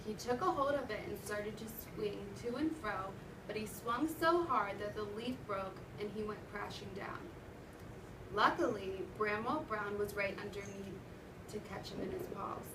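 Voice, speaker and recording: A woman's voice: mid-range voice, unhurried. A woman teacher reading a story aloud to children, wondering aloud and prompting them as she goes. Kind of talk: interactive read-aloud to children. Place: classroom with children present. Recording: roomy, noisy classroom from far off.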